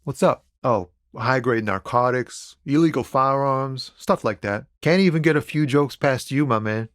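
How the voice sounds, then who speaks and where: An actor playing a laid-back, philosophical lorry driver and amateur poet in a video game, talking in a wry, easygoing, slangy way. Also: male voice, mid-range voice, normal speed, dry recording, studio quality, lightly acted. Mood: casual, guarded. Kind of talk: video game character dialogue.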